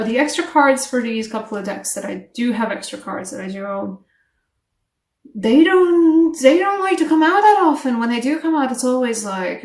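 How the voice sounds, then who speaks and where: A woman giving a casual tarot reading to camera, chatty and animated, English not her first language, laughing at herself between rambling asides. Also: fairly high pitch, far from the mic. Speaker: tarot reader. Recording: echoey room, voice a bit off-mic.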